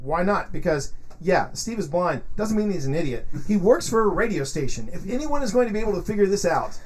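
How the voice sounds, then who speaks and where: A man's voice: high voice, sing-song, brisk. A man chatting casually as a host, rambling and repeating phrases for emphasis. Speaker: podcast host and game streamer. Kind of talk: casual chat.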